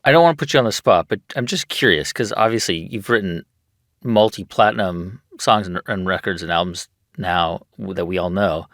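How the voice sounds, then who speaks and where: A man's voice: low voice, brisk, fairly bright tone, dry recording, clean studio sound. A man hosting an interview, asking curious, engaged questions. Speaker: podcast host.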